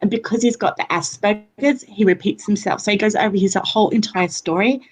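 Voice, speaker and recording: A woman's voice: medium pitch, a little fast. A woman from Australia with an Australian accent touched by American, chatting casually and rambling with many restarts on a livestream. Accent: Australian, with some American. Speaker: guest on a livestream. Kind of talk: casual chat.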